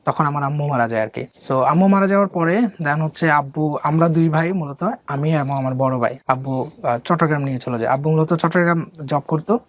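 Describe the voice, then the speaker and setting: A young man from Bangladesh, an interview guest telling his life story softly and hesitantly, with many pauses and fillers. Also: a little high. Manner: soft-spoken, hesitant, many fillers and restarts.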